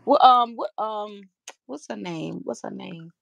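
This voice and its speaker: A woman's voice: mid-range voice, a little slow, gravelly, fairly bright tone, lo-fi sound. A middle-aged woman hosting a casual livestream from a room at home, talking easily into a webcam. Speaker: livestream host.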